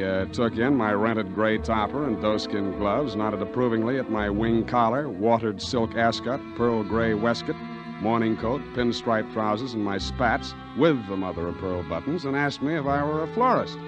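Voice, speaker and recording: A low-pitched, hard-boiled male detective played by an actor in a 1940s radio drama. He narrates drily and wryly, with music clearly playing behind. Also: muffled recording, close to an everyday voice. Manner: dry, wisecracking. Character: wry, street-wise male hard-boiled private detective. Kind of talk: 1940s radio acting, first-person dictated narration.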